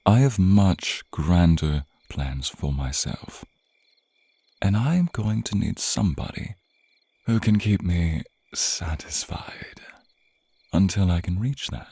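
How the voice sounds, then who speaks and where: A man plays a suave male vampire in an intimate ASMR roleplay. His low voice is dark and slightly husky, drawling slowly as he teases and purrs possessively at his captive. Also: dry recording, exaggerated voice. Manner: drawling, purring, theatrical, murmured asides.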